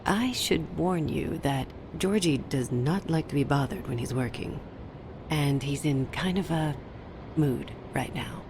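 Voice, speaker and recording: An actress playing the smooth female hostess of a seedy club, speaking in a hushed, breathy, suggestive way. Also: low voice, measured pace, background noise. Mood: teasing.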